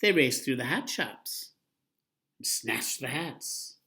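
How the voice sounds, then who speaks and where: A man reading a picture book aloud as a storyteller, with a lively, expressive delivery. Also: medium pitch, slow.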